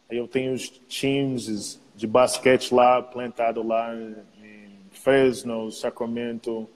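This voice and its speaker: A man's voice: mid-range voice, darkish tone. American guy chatting, slangy, english is his first language, really reverby sound. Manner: slangy, repeats filler tags. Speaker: podcast interviewer, basketball player. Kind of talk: casual chat. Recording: long reverb, roomy sound.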